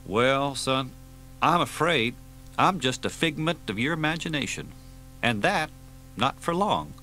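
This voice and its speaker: A man's voice: medium pitch, lively intonation, unhurried, sharp tone, soft background music, pitched above the performer's own voice. A man voicing a dry, unflappable male veteran agent who talks in a wry, easygoing way.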